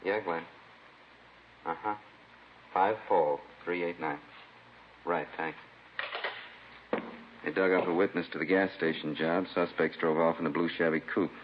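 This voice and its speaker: A businesslike police detective gives reports in a very deep voice and clipped, factual phrases. The old 1950s radio drama sounds muffled, with faint noise. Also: male voice, steady tone, a little slow, long pauses. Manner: matter-of-fact, clipped. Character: brisk, businesslike male homicide detective reporting case facts.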